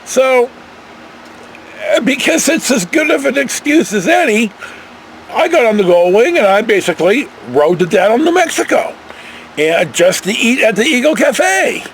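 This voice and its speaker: Older American male storyteller, folksy and rambling, very lively intonation, slight background noise. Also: extremely high pitch, measured pace, rough recording. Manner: folksy, meandering, animated emphasis. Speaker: vlogger telling personal travel stories.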